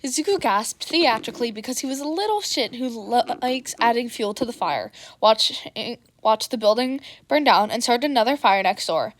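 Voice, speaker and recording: A woman's voice: a little high, expressive, moderate pace, hardly any pauses. A woman narrating scripted fan fiction as the host of her own reading channel. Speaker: podfic reader and channel host.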